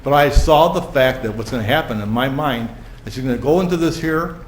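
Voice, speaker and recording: A man's voice: medium pitch, fairly quick. A man speaking at public comment in a village board meeting, emphatic and heartfelt, leaning on certain words and repeating himself. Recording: echoey meeting room, faint hiss.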